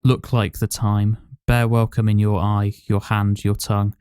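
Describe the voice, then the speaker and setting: Low, clear-voiced man hosting a quiz. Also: little pitch movement, unhurried, a little mellow, no echo, studio quality. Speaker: podcast host and quizmaster. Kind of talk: casual quiz hosting, reading out questions and answers.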